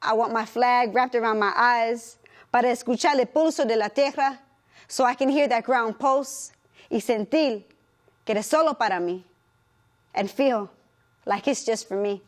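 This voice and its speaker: A woman's voice: a little high, moderate pace. A young woman performing a spoken word poem with rhythmic delivery, switching between English and Spanish. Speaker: performance poet.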